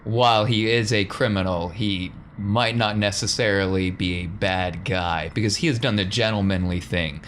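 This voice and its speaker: A man with a fairly low voice runs a tabletop roleplaying game. He narrates casually and colloquially, with evident enthusiasm, over faint background noise. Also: normal speed, hardly any pauses, a bit bright. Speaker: game master.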